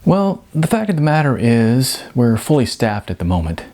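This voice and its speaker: A man's voice: medium pitch, sing-song, normal speed, mellow. A man voicing a blunt, world-weary restaurant manager in an audiobook, explaining things frankly and emphatically. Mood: matter-of-fact.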